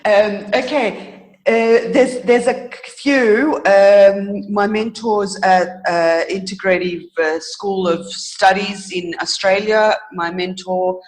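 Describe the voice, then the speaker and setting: Woman being interviewed, mid pitch, reflective and earnest, kinda halting, fixes her words as she goes. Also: steady tone. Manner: earnest, halting, thoughtful pauses with self-corrections. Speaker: interview guest, author. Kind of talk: reflective, casual interview answers.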